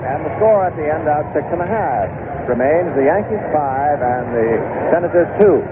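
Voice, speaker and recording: A man with a fairly high voice calls a baseball game play by play. He speaks in measured, conversational phrases with pauses between them. The sound is a thin, tinny 1960s radio broadcast buried in heavy noise. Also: lively intonation. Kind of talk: live baseball play-by-play commentary.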